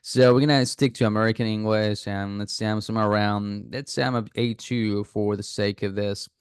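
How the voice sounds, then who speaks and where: A man's voice: lowish voice, steady tone, a little fast, clean tone, dry recording, clean studio sound. A man casually talking viewers through signing up for an app, thinking aloud with fillers and restarts. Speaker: app reviewer. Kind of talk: casual screen-recording walkthrough.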